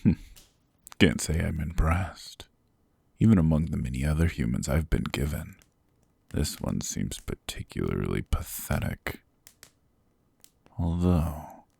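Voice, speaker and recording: A cold, possessive demon king, voiced by a man, looms over his captive in a slow, condescending drawl, dropping into gravelly whispers right at the ear. His voice sits extremely low and very husky, with a rough fry on the ends of phrases. The close ASMR recording is dry, with no room around it. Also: animated, darkish tone, no echo, exaggerated voice. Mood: cold disdain. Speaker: voice actor. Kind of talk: ASMR roleplay audio.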